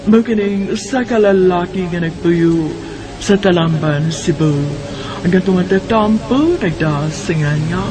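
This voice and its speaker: A man with a Cebuano accent announcing a radio drama in a slow, theatrical way. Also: sits high. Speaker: program announcer. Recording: music bed underneath.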